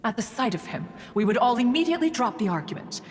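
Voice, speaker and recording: A woman's voice: on the high side, sing-song, fast, darkish tone, a little hiss or hum. A woman playing a proud, wistful ancient female immortal in a game, speaking in formal, archaic, pompous phrases. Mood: nostalgic. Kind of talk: scripted game dialogue, storytelling. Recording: long hall reverb, music behind. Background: music.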